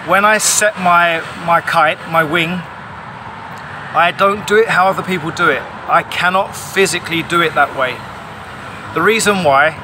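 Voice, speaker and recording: Man, forties, fairly high voice, insistent vlog monologue, noisy outdoor phone recording. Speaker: paraglider pilot vlogging. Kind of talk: explanatory monologue to camera. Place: outdoors under a tarpaulin canopy. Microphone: phone at arm's length. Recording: clear outdoor ambient noise.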